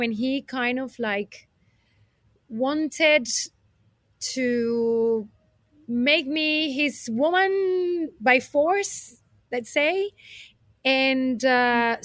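Unhurried, high-voiced Colombian-accented woman. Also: fairly bright tone, no echo, studio quality. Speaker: podcast guest, businesswoman. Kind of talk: conversational personal storytelling.

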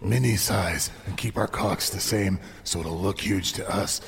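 A man acts a grim monster hunter in a very deep, creaky voice. He is stern and no-nonsense, in a comic radio play. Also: a little slow, noisy background. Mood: wary, insistent. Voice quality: gravelly. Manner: stern, insistent. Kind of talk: comedic audio drama acting.

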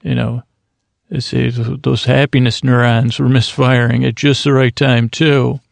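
A man's voice: medium pitch, little pitch movement, a little slow, creaky, dry recording. A man hosting his own podcast, rambling and self-mocking, often laughing at his own jokes. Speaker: podcast host. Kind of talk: rambling solo podcast intro.

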